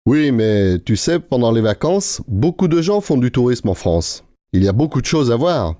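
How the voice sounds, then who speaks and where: A man with a mid-pitched, relaxed voice plays his part in a learner dialogue. He explains things clearly and steadily. The recording is dry and close. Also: normal speed, no room sound.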